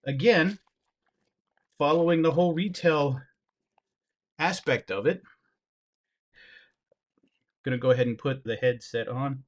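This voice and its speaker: A man giving a hands-on product review, upbeat and enthusiastic, talking to viewers directly while he handles and shows the gear. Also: medium pitch. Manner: talks while handling gear, brief pauses and asides.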